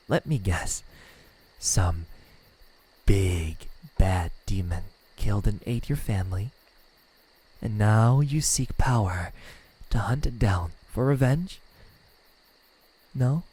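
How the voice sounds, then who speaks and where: A man playing a smug, teasing demon in an ASMR roleplay, taunting softly with drawn-out pauses and a breathy, husky voice. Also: lowish voice, exaggerated voice. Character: smug, teasing male demon toying with a captive. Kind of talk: ASMR roleplay, slow intimate villain acting.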